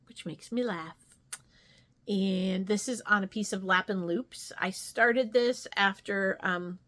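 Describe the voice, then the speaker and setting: A woman's voice: mid-range voice, a bit bright. A woman chatting casually as a craft vlogger, rambling and thinking aloud as she shows her projects. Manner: rambling, thinking aloud, trailing tag questions. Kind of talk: casual chat, showing stitching projects.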